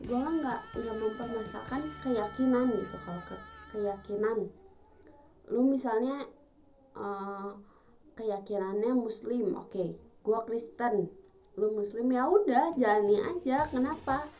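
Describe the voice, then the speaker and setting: A woman's voice: fairly high pitch, slow, dark tone. A 21-year-old woman from Bandung with a Sundanese Indonesian accent, talking casually and emphatically to her viewers in slangy speech. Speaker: vlogger. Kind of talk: casual talk to camera. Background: music.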